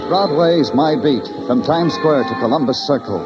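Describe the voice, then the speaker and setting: An actor playing a moody, world-weary New York detective, narrating a hard-boiled radio drama in clipped, poetic, brooding phrases. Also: male voice, on the high side, moderate pace, natural voice. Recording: dull old radio recording, loud music. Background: music.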